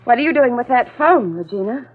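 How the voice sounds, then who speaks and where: A woman's voice: fairly high pitch, expressive, brisk, husky. An actress playing a devoted, resolute woman in an old-time radio horror drama, earnest and theatrical. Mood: firm, defiant. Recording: tinny old radio recording with music.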